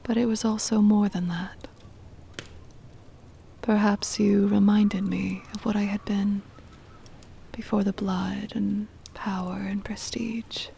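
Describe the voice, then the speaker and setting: A woman playing a centuries-old vampire mistress, tender and possessive, in a hushed, breathy ASMR whisper with deliberate, formal wording. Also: medium pitch, level tone, slight background noise. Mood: wistful confiding. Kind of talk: ASMR roleplay, intimate scripted monologue.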